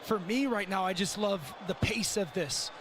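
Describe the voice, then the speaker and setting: A man's voice: sits high, normal speed. A man giving live analytical colour commentary as a television football analyst. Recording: game broadcast with clear background noise. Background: stadium game noise.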